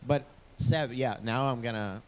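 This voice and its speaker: A man moderating a panel who speaks quickly and pitches ideas with enthusiasm. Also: smooth. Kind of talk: online panel discussion.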